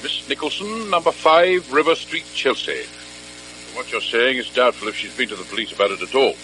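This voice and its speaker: A matter-of-fact British superintendent in a vintage radio drama. His mid-pitched voice rises and falls briskly, with faint noise on the old recording. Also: lively intonation, bright, forceful tone, low-quality recording. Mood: businesslike.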